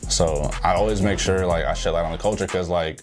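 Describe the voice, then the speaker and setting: A man's voice: deep voice, brisk. A young man from Houston talking casually as an interview guest, loose and full of slang and "like". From Houston, Texas. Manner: relaxed, heavy use of "like" and slang. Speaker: guest, dancer. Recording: music playing behind.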